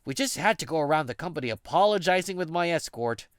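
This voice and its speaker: A man playing an easygoing, patient office senior in an English manga dub, with an expressive, conversational delivery. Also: fairly high pitch, quick pace, background noise, lightly acted. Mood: reassuring.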